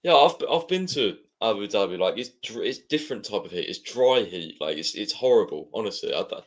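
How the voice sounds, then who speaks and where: A man chats on a football podcast. His voice sits low, and he talks in a casual way, stammering through his points and laughing as he goes. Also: animated, fairly quick. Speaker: guest panelist.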